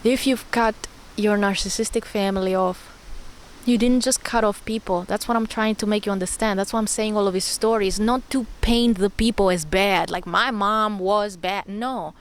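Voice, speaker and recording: A young woman hosting a spiritual vlog, talking warmly and encouragingly, repeating words and laughing often. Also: medium pitch, steady tone, normal speed. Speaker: spiritual channel host. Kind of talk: personal spiritual vlog monologue.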